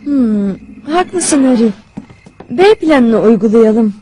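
High-voiced, composed young princess. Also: female voice, expressive, mellow, a little hiss or hum, rough recording. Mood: decisive. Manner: measured, decisive. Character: composed, commanding young female squirrel princess. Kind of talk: cartoon dub acting.

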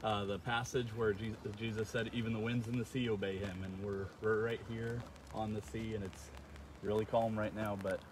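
A man's voice: fairly low pitch, flat delivery, normal speed. A man in his thirties from Iowa with an American accent, a pastor chatting casually in an outdoor interview. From Iowa, United States. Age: 30s. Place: outdoors on a lakeshore beach. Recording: clear outdoor ambience and vehicle noise.

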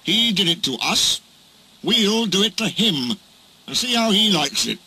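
Man doing huffy, pompous, high-pitched old-style steam engine. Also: very animated, measured pace, sharp tone, slightly rough, full character voice, rough recording. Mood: offended. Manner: pompous, spluttering, hissing outrage.

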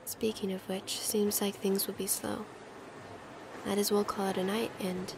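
An actress playing a young, quippy, rambling superheroine in an audio drama. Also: female voice, medium pitch, flat delivery, a little slow, creaky, background noise. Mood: cocky. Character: wisecracking young superheroine, lonely but cocky.

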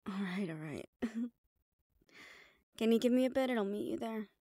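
Woman playing a tough mafia assistant, gravelly edge. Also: fairly high pitch, animated, normal speed, warm tone, dry recording, studio quality. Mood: casual, warm. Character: tough, loyal, teasing female assistant to mafia boss. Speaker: voice actor in audio roleplay. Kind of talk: intimate audio roleplay acting.

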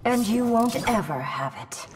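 A woman's voice: fairly high pitch, sing-song, unhurried, recorded from a distance, background noise. An actress playing a protective, commanding female warrior in a fighting game. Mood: firm defiance. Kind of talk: fighting game character dialogue.

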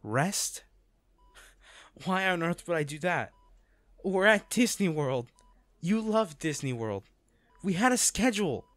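A man playing a devoted, teasing and self-blaming boyfriend in a romantic audio roleplay, speaking haltingly and tenderly. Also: high-pitched, animated, a bit bright, dry recording, heavily acted. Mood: frustrated, upset. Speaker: voice actor.

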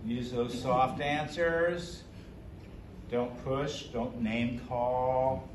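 Slow-paced older man teaching children, echoey room. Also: medium pitch, steady tone. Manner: simple, instructive, addressing children. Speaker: ventriloquist, children's ministry storyteller. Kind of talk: Bible lesson for children. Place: hotel conference room, seated before children. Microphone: camera a few metres away. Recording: echoey room, distant mic, background noise.

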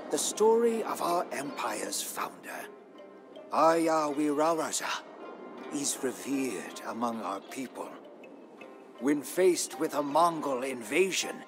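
An actor playing a proud, loyal Indonesian royal guard commander, narrating his tale in a solemn, weighty, measured storyteller's manner. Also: on the high side. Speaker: campaign narrator. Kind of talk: dramatic scripted narration. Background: music.

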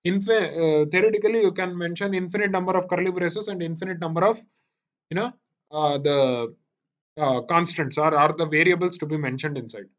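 A man's voice: fairly high pitch, brisk, a bit bright. A man with an Indian accent giving a live online programming lecture, repeating himself and often checking that students follow. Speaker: programming teacher. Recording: dull, lo-fi online meeting audio.

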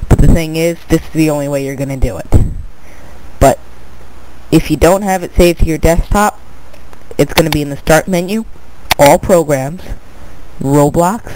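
A man's voice: a little high, fairly even intonation, measured pace, pauses often, background noise. A young man with a stuffed-up nose, casually talking viewers through a screen-recorded tutorial, rambling and restarting his words. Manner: rambling, repeats "but anyway", restarts words. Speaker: tutorial video maker.

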